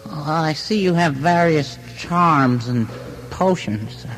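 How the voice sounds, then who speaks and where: An actor playing a lonely, timid mailman of about thirty in a radio drama, meek and halting. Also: a little high, animated, a bit bright, slight background noise, low-quality recording. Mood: meek, downcast. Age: around 30.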